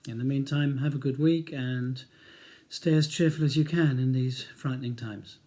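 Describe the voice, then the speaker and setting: A man's voice: medium pitch, steady tone, normal speed, dark tone. A middle-aged man with an English accent reading his own novel aloud as audiobook narration.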